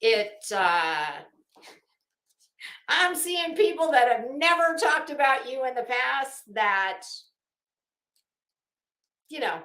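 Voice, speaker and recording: A woman's voice: sits high, sing-song, takes it slowly, long pauses, bright, forceful tone, roomy sound. A woman delivering an unscripted, opinionated commentary rant as an online host, sarcastic and taunting, with theatrical emphasis.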